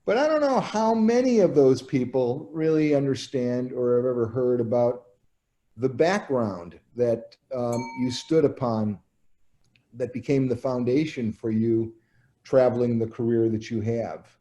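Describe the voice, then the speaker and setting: A man hosts an interview, introducing his guest with light humor and then asking long, winding questions. His voice is mellow and warm, sitting in a mid range. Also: medium pitch, unhurried. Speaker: interview host. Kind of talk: hosted interview.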